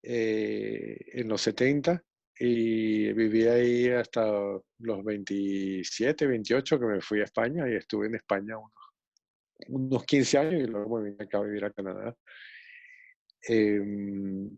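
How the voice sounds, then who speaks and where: A man in his forties from Venezuela with a Venezuelan accent, telling his story as a guest on a video call in a relaxed way with many pauses and fillers. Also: on the low side, creaky, no echo. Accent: Venezuelan Spanish. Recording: muffled video call audio.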